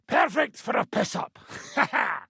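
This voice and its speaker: Brash, swearing medieval game mercenary: British, gravel-throated, high-pitched for a man, forceful delivery. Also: lively intonation, unhurried, no echo, clean studio sound, exaggerated voice. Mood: rowdy cheer. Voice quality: rough, raspy, gravelly voice. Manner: coarse, brash, swearing banter. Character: foul-mouthed, boozy, boisterous male medieval mercenary fighter. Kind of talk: video game character voicelines.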